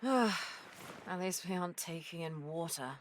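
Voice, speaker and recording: A woman as a low-voiced, stern sea captain. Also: lively intonation, slow pace, a little hiss or hum. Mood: businesslike. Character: stern, weary female ship's captain. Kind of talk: audio drama acting.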